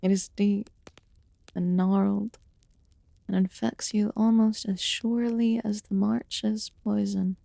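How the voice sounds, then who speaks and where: A woman voicing an aloof, curious fae witch healer in a fantasy ASMR roleplay, speaking formally and softly, often dropping into a whisper. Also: mid-range voice, little pitch movement, gravelly, no echo, clean studio sound. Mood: grave, measured. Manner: formal, archaic, deliberate phrasing.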